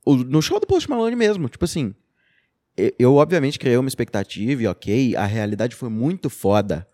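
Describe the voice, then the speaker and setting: A man's voice: mid-range voice, sing-song, moderate pace, mellow, dry recording, clean studio sound. A young man with a Brazilian Portuguese accent, co-hosting a casual podcast and talking loosely with lots of slang and fillers. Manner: loose and talkative, with slang and frequent "tipo" and "sabe". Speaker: podcast co-host. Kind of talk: casual chat.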